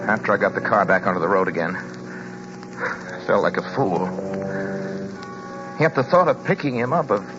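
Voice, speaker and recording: A rattled driver, played by a man, narrates in a lowish, measured voice that breaks into nervous stammers. The recording is dull and lo-fi, with music running underneath. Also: big pitch swings. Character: uneasy male driver recounting a trip. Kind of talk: 1940s radio drama narration.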